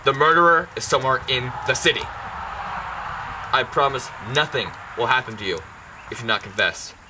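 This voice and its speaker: A man's voice: mid-range voice, moderate pace, background noise, close to an everyday voice. A man playing a proud, suspicious, commanding king in an amateur Greek tragedy, speaking in formal declamation.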